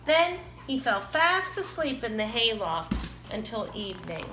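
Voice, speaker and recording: Woman, children's storyteller, slightly high bright voice, unhurried, expressive, roomy sound. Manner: animated, dramatizes characters' lines. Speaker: storybook reader. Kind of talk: expressive children's read-aloud. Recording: roomy, echoing, some background noise.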